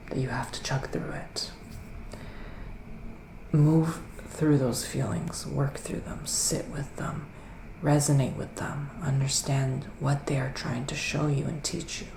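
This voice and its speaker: Slow, soft, half-whispering man, ASMR. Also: on the high side, echoey room, poor audio. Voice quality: soft, breathy, often dropping to a whisper. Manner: slow, deliberate, pausing, soothing delivery. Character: gentle male witch giving a psychic reading. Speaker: ASMR performer playing a psychic tarot reader. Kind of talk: ASMR roleplay, intimate psychic reading.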